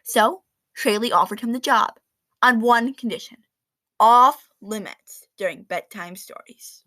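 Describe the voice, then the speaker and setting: A woman reading a children's picture book aloud in an expressive, animated storytelling manner with dramatic emphasis. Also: on the high side, a little slow, no room sound.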